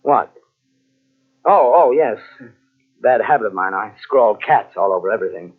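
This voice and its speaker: An actor playing a cornered, rueful murder suspect in theatrical 1940s radio mystery style. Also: male voice, lowish voice, animated, moderate pace, slightly rough. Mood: evasive. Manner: theatrical, emphatic. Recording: tinny vintage radio recording on cassette.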